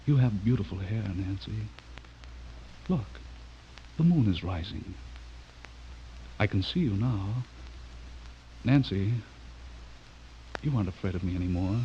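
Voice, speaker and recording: An actor playing a smooth, menacing man who is secretly a werewolf, in old-time radio horror style, with suave, falsely courteous delivery. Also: on the low side, expressive, unhurried, pauses often. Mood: smooth, reassuring. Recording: muffled old radio recording, faint noise.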